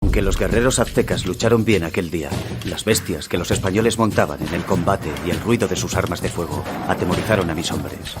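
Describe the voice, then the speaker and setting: An actor playing a grave Aztec warrior in a video game, solemnly narrating his empire's fall in measured Castilian Spanish. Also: deep voice, over music, lightly acted. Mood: solemn, foreboding. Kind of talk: scripted dramatic narration, storytelling.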